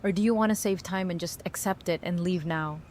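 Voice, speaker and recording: A woman's voice: lowish voice, a little fast, a little mellow, a little hiss or hum, lightly acted. A woman playing a tough, blunt, teasing but loyal friend in an audio drama, with casual, salty delivery. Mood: firm, insistent. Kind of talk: fan audio drama acting.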